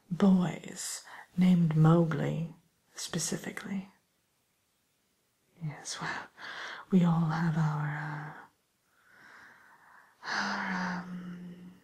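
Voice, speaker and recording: A softly whispering female matchmaker for villains, played by a woman in an ASMR roleplay. She takes her time, keeping a slightly low, breathy voice. Her manner is discreet and courteous, with hesitant tact and a gently dry edge. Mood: helpful, coaxing.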